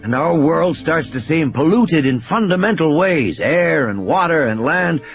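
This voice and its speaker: An intense, ailing male scientist, acted by a man reading an audiobook lectures grimly over music in slightly muffled audio. His delivery is emphatic and rhetorical, with a very animated melody. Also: on the high side, a little slow, vocal fry. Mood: insistent, grim. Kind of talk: audiobook character dialogue. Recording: dull lo-fi audio, music behind.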